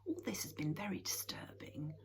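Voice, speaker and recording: A woman voicing a calm, protective mother troll who speaks gently and soothingly in a storybook reading. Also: fairly low pitch, normal speed, whispered.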